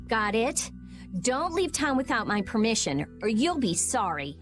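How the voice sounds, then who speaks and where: A woman's voice: fairly high pitch, very animated, measured pace, soft background music. A woman voicing a blunt, prickly teenage girl mage in a video game, curt, sardonic and bossy. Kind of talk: English video game dub acting.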